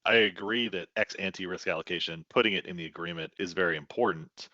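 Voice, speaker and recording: A man's voice: sits low, a little fast, sharp tone, no echo, lo-fi sound. A man giving conversational expert commentary as a panelist, explanatory and leaning on key words for emphasis.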